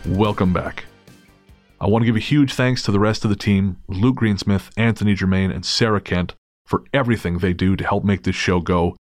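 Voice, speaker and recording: A man's voice: fairly low pitch, fairly even intonation, moderate pace, no room sound, soft background music. A man hosting a podcast, talking in a thoughtful, candid, self-deprecating way. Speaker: podcast host. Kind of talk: casual podcast chat and read-out story.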